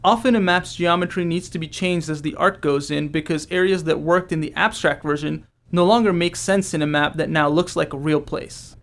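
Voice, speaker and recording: A man's voice: a little high, normal speed, hardly any pauses. A man who works as a game developer, reading scripted commentary in an explanatory style.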